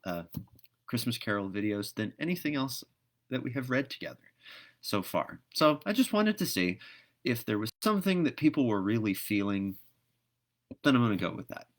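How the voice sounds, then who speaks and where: A middle-aged man with a mid-pitched voice hosts a casual livestream. He talks to his viewers conversationally, pausing to think and picking his way through each point. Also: big pitch swings. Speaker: host of a read-aloud livestream.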